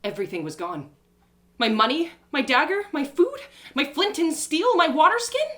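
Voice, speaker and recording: Casting: woman performer as naive young heroine of an audio drama, stranded and betrayed, plucky, melodramatic, very animated delivery. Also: high-pitched, normal speed, pitched above the performer's own voice, heavily acted. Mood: hurt disbelief. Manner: dramatic, exclaiming, stammering in distress. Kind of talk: first-person audio drama storytelling.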